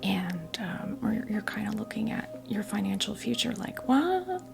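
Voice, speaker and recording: An American woman in her fifties giving a tarot reading as ASMR role play, whispering softly in a halting, gently reassuring way. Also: mid-range voice, big pitch swings, music in the background. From New Jersey, US. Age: 50s. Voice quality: whispered, breathy soft voice. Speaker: ASMR performer playing a tarot reader.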